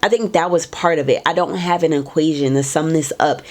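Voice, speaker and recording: A woman in her twenties or thirties hosts her own talk show and speaks straight to her audience about personal matters. Her voice sits low for a woman and sounds a bit nasal and stuffy from a cold. She talks in an earnest, emphatic way, often repeating a phrase to drive a point home. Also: a little fast, darkish tone. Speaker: podcast host. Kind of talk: casual reflective talk to viewers.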